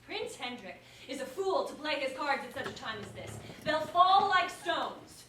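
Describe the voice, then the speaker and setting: A woman's voice: high-pitched, sing-song, a little slow, pushed and bright, exaggerated voice. A woman playing a proud, principled ruler in a staged verse comedy, declaiming her lines. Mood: defiant. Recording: distant mic in echoey room, hiss.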